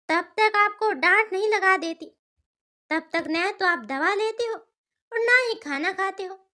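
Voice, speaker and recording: A woman plays a bossy, caring grown-up daughter in a cartoon tale. Her voice is extremely high and bright, scolding out of affection. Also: heavily acted. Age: young adult. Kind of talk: cartoon story dialogue.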